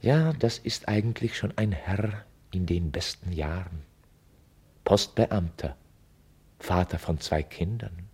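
An actor as a wry, musing male narrator of a radio play, with a husky, mellow voice. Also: low voice, slow, heavily acted.

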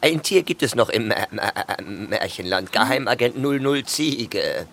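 A woman playing a timid secret agent goat in a children's radio play, with a husky, creaky voice and a stammering delivery. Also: rumbling low, lively intonation, moderate pace, full character voice. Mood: flustered. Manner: stammering, hesitant bleating delivery.

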